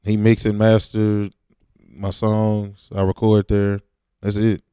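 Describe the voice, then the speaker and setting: A man's voice: on the low side, monotone, slow pace, mellow, clean tone, dry recording, studio quality. A laid-back man, talking casually and with slang as a rapper in an interview.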